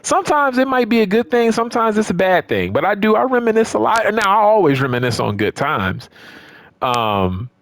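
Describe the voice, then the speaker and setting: A man's voice: high-pitched, very animated, a little fast, a bit bright, dry recording. A man talking casually and off the cuff to his viewers as a gaming YouTuber, emphatic and repeating phrases for stress, often checking in with the listener. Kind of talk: casual, unscripted vlog commentary to viewers.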